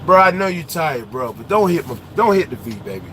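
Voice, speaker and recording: A man speaking with a high, bright voice rants loudly from inside his truck cab. His talk is slangy and animated, and he often raises his voice. A faint hum of road noise sits behind him. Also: a little fast. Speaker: truck driver vlogger. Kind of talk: casual ranting chat while driving.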